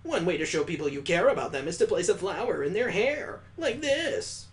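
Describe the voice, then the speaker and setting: A man's voice: high-pitched, very animated, normal speed. A man voicing a bossy, nurturing big-sister girl from a visual novel in an emphatic, instructing way. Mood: cheerful, instructing.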